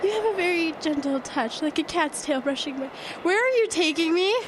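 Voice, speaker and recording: Heavy noise surrounds a freezing, panicky woman lost in a blizzard. Her voice is very high and swings widely, anxious and overwrought in the manner of a horror radio play. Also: animated, a little fast. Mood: dazed. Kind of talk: horror audio drama acting. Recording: very noisy, wind-covered outdoor scene.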